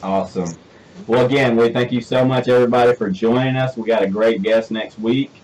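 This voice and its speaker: A young man in his twenties with a Southern US twang hosts a casual interview show. He talks quickly and chats in an animated way, often restarting his sentences. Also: mid-range voice, poor audio. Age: 20s. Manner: chatty, animated, thinks aloud with restarts. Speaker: host.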